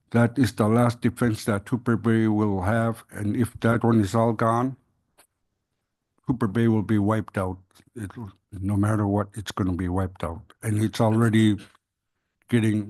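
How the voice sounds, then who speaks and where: A man's voice: fairly low pitch, darkish tone. A man giving public testimony in a grave tone, repeating his points for emphasis.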